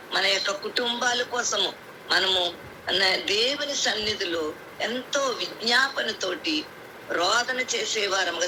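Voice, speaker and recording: Woman preaching over a noisy call. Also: mid-range voice. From India. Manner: exhorting, repetitive phrasing. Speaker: prayer group speaker. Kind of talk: devotional sermon with scripture reading. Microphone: phone on conference call. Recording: noisy conference call, vehicle sounds behind.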